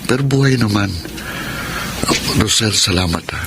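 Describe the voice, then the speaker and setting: Man acting low-voiced, sincere young suitor. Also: moderate pace, noisy background, close to an everyday voice. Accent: Filipino. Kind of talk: Philippine radio drama acting.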